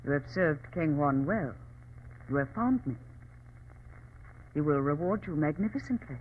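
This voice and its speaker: An actress playing a proud, impassioned Chinese woman revolutionary in an old radio drama, formal and imperious, with a husky voice. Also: sits low, animated, measured pace, a little hiss or hum. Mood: cool, imperious. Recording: muffled old radio recording.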